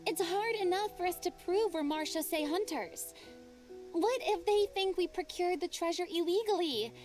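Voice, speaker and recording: A thoughtful young female adventurer with a high, clear voice. She talks things through earnestly and reasonably, with faint game music beneath her. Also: normal speed, darkish tone.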